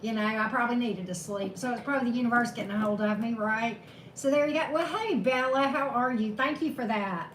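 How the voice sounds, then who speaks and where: A woman with a high, slightly hoarse voice talks casually as a tarot reader on a live stream, greeting her viewers one by one. She is chatty and laughs at her own corny jokes. The sound has a roomy echo and clear background noise. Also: brisk. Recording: echoey room with steady background noise.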